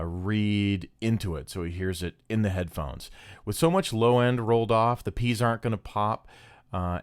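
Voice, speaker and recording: Man, fairly low voice, casual tutorial explanation, audio instructor, dry studio sound. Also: no echo.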